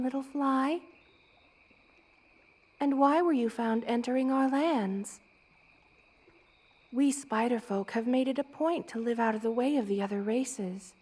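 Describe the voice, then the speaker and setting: A woman playing a motherly, regal spider queen who is gentle and protective, in a tender ASMR roleplay. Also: sits high. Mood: regal, gently questioning. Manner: tender, cooing, doting, with regal commands.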